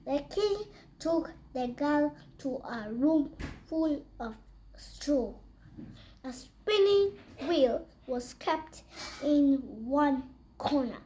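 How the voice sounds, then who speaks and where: A reader telling a children's fairy tale aloud in a halting way, repeating and correcting words. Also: high-pitched, warm tone, slight background noise. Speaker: storyteller reading aloud.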